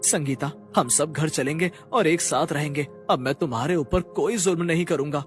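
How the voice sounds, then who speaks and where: A man's voice: fairly high pitch, normal speed, soft background music, lightly acted. A man playing a remorseful, emotional injured husband in a cartoon moral story.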